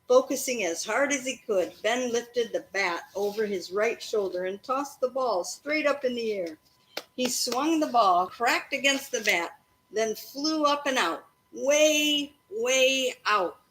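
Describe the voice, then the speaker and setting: A woman reading a children's story aloud expressively, in an animated way meant to engage a young listener. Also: a little high, slow pace, a little hiss or hum, poor audio.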